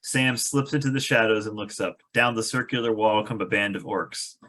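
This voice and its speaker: A man's voice: on the low side, moderate pace, fairly bright tone. A man reading stage directions aloud as narrator of a fantasy screenplay table read.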